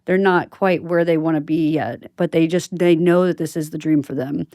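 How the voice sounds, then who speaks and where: A woman with a low, mellow voice, recorded very dry. She hosts conversationally, affirming her guest and musing casually with lots of "like" before putting questions. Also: fairly even intonation, moderate pace, no room sound. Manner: affirming, casual, uses like often. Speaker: podcast host, interviewer. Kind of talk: supportive conversational interviewing.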